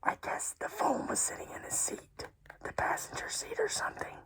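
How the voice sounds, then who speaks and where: A woman whispering a personal story in a hushed, tearful, casual way, swearing freely. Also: normal speed, poor audio.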